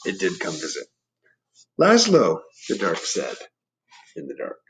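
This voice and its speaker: A man's voice: sits low, steady tone, normal speed, a bit bright, low-quality recording. A man reading a picture book aloud to children, explaining things and putting questions to the listeners in chatty asides. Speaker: storybook reader.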